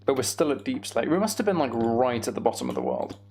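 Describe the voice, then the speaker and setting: A man's voice: medium pitch, sing-song, quick pace, a little mellow, a little hiss or hum. A man chatting casually as he livestreams a game, with self-deprecating, muttered asides to himself. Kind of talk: casual gaming livestream chat with commentary.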